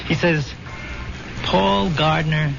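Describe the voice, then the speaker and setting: A man acting in a 1970s American radio drama as a dead soldier's ghost, halting and confiding with his old buddy. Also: a little high, steady tone, gravelly, full character voice. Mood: wry, recounting. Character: ghost of a soldier killed in war, familiar buddy. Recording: dull old radio broadcast, loud music.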